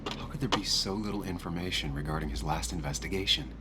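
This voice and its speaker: An actor playing a guarded, coldly logical investigative journalist in a video game, speaking in a terse, matter-of-fact way. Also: male voice, low-pitched, fast, very noisy, close to an everyday voice. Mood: brooding. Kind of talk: naturalistic video game acting.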